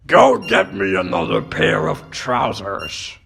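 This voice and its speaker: A man acting out a blustering, short-tempered middle-aged newspaper boss for a comic dub, gruff and barking, with a gravelly voice. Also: mid-range voice, sing-song, slow pace, bright, forceful tone, faint music behind, heavily acted. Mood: furious.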